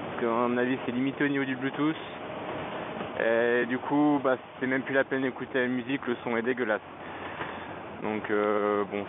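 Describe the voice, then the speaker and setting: A man giving a casual, unscripted product review as a vlogger, hesitant and often searching for words, speaking into a helmet headset microphone. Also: mid-range voice. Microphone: Bluetooth motorcycle helmet headset microphone. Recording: tinny narrow-band helmet intercom mic, background noise.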